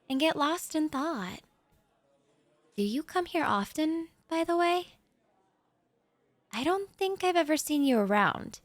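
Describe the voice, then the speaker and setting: A young woman plays a bashful writer who shares her table at a café, rambling softly with little nervous laughs. She talks slowly in a gently raised voice. The sound is close and dry, with no room echo. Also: sits high, full character voice. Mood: shy, polite. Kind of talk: audio roleplay.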